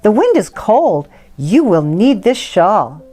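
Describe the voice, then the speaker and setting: A woman's voice: medium pitch, very animated, slow, dark tone, breathy edge, full character voice. Woman reading as an earnest, pleading little girl. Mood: earnest concern. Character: earnest little Indian girl, pleading and devoted to her mother. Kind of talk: storybook read-aloud.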